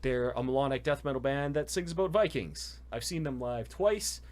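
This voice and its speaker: A man's voice: mid-range voice, normal speed, darkish tone. A young man hosting a casual music reaction, explaining band history with fanboy enthusiasm and laughter. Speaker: host.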